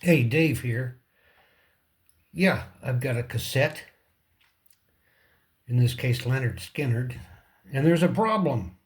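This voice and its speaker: Slow-paced man giving repair tips. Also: medium pitch, lively intonation. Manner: halting, short phrases, thinking aloud. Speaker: repair tutorial host. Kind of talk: casual hands-on how-to demonstration.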